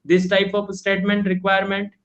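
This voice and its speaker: A man's voice: high-pitched, monotone, a little fast, fairly bright tone, lo-fi sound. A young man from India, a native Hindi speaker, earnestly asking questions in a casual English practice lesson, politely calling his teacher ma'am. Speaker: English learner. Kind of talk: online language lesson chat. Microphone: video call.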